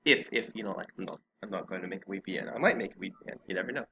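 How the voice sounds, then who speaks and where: A man's voice: sits low, rapid-fire, creaky, pushed and bright, muffled recording. A man hosting a casual podcast discussion, often stammering and repeating words, on a slightly noisy mic with clicks. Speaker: podcast host.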